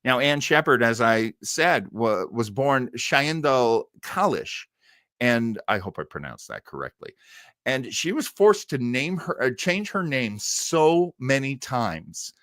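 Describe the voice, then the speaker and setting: Male live-stream host, chatty and informal, mid-pitched with very varied intonation, keen commentary style. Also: very animated, takes it slowly, a bit bright, no echo, clean studio sound. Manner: enthusiastic, chatty, rambling. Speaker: host of a live vintage radio show stream.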